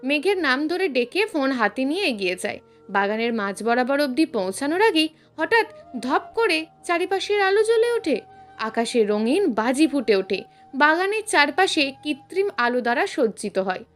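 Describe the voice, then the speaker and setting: High, animated woman storyteller. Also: moderate pace, faint music behind. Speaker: story narrator. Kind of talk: scripted narration of a romantic serial story.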